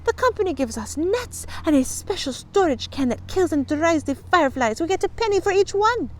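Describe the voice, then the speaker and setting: A woman voicing an indignant, nervous little girl in a storybook reading, talking in an excitable, plain-spoken way. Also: extremely high pitch, moderate pace, a little hiss or hum, voice pushed up. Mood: earnest.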